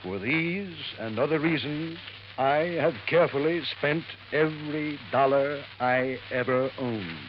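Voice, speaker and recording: An actor playing a formal, flustered, stammering lawyer in an old radio drama. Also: male voice, on the high side, a little slow, lightly acted. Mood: formal, solemn. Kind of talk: golden age radio drama acting. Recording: muffled old radio recording, noisy.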